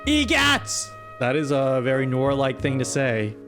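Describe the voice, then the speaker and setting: A woman's voice: very deep voice, big pitch swings, measured pace, a bit bright. A woman hosting a casual livestream, playful and mock-dramatic as she reads out and comments on a story. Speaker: streamer, host. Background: music.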